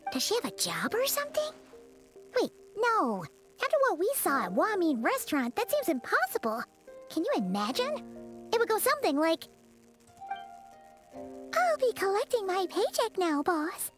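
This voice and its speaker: A tiny childlike fairy companion for an animated game. The voice is very high and squeaky, with lively swoops and chirpy, expressive chatter. Soft music plays underneath. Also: female voice, normal speed, pauses often, heavily acted. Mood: curious, puzzled. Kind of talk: animated game character acting.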